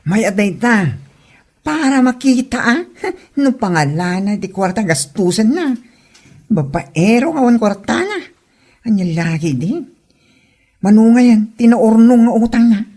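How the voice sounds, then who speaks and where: A woman's voice: on the high side, lively intonation, unhurried, mellow. A woman with an Ilocano Filipino accent playing a nosy, blunt gossiping friend in a comedy audio drama. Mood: egging on.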